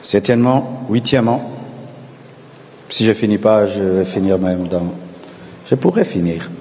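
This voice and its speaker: A male pastor preaching a sermon, calling on the congregation to repeat after him and swelling into shouted refrains. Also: fairly low pitch, animated, a little slow, big-room sound, a little hiss or hum. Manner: preaching with call-and-response, rising to shouted repetitions.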